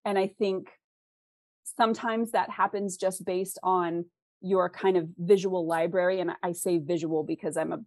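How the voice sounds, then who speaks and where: Female author guest, West Coast American accent. Low-ish voice, dry close sound, articulate conversational explanations. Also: steady tone, normal speed, darkish tone, dry recording. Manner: thoughtful, articulate, explains through rhetorical questions.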